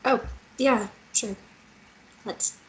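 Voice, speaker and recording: A woman's voice: on the high side, steady tone, extremely slow, a bit bright, low-quality recording. A woman acting an earnest, stubborn teenage girl in a screenplay table read. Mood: polite, hesitant. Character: teenage girl Lily, stubborn, curious, dreamy.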